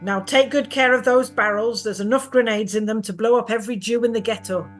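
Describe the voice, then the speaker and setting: An actress playing a wry, careful underground weapons smuggler in a stage drama, terse and matter-of-fact. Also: female voice, on the high side, steady tone, a little fast, close to an everyday voice. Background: faint music.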